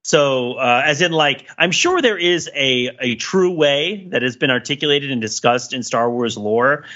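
A man's voice: medium pitch, a little slow, sharp tone. A man on a casual comedic discussion podcast, analytical and dryly joking, on a slightly lo-fi recording. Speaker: podcast panelist.

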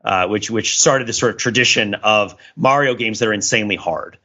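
A man's voice: fairly low pitch, fairly quick, bright, forceful tone, dull audio. A man co-hosting a podcast, explaining things in a casual, discursive way and often checking in as he goes. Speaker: podcast co-host.

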